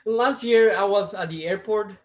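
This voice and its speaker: A man from Costa Rica with a Costa Rican Spanish accent, doing a stand-up comedy set over a video call, with a deliberate delivery that stops and restarts. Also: sits high, dull audio. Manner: deliberate, with restarts and self-amused laughs. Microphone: video call mic.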